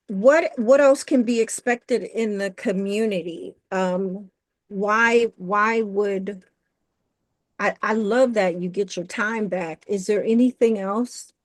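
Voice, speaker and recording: A woman's voice: medium pitch, slow, long pauses, dry recording. A woman hosts a podcast in a casual, affirming way and cheers her guest on. Her voice sits mid-range and sounds close and dry, with no room around it. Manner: encouraging, affirming, playful asides. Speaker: podcast host. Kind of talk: casual interview chat.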